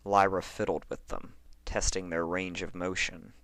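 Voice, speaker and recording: A man reading a horror story as narrator, in a measured and dramatic storytelling manner. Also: deep voice, flat delivery, a bit bright, dry recording. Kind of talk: scripted narration of horror fan fiction.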